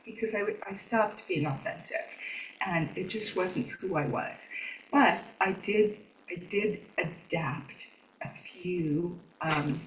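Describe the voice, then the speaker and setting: A woman guest speaking softly and conversationally, reflecting on her own experience. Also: mid-range voice, roomy sound, recorded from a distance, slight background noise, poor audio. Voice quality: soft voice that does not carry. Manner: conversational, reflective, soft-spoken. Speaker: podcast guest. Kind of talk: conversational interview.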